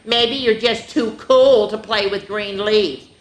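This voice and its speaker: A woman's voice: medium pitch, fairly even intonation, slow pace, pitched above the performer's own voice, natural voice. A woman voicing a chatty young female leaf friend, chirpy and a little jealous. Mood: sulky. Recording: roomy echo.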